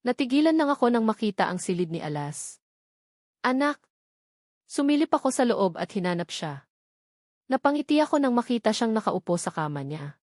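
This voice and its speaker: A woman's voice: on the high side, sing-song, brisk, darkish tone, no room sound, studio quality. A woman with a Filipino accent reading a scripted first-person story as an audiobook narrator.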